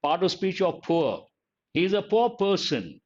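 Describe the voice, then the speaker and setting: An older man with a standard Indian English accent teaches grammar in a somewhat high voice, calling on students by name. He explains slowly and repeats each rule. The audio is dull and lo-fi. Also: sing-song, a little mellow. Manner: addresses students by name, asks and answers his own questions; slow, deliberate, repeats key points. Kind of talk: online grammar lesson.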